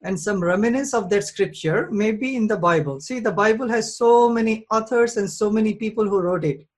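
A man with a slightly husky voice leads the conversation, asking questions and explaining patiently. He builds his points step by step with simple everyday examples. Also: sits high, moderate pace. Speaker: host, Islamic outreach. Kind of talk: calm explanatory interview.

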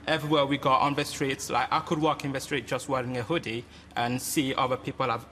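A young man in a studio audience making an earnest, halting point. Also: medium pitch, level tone, bright, forceful tone, in a large hall, a little hiss or hum. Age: young adult. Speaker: audience member.

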